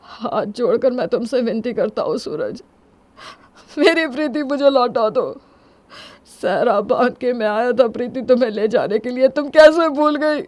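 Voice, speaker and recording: A woman's voice: high-pitched, gravelly, darkish tone, dry recording, voice pushed up. A woman voicing a proud, distraught young husband in an emphatic, melodramatic story performance.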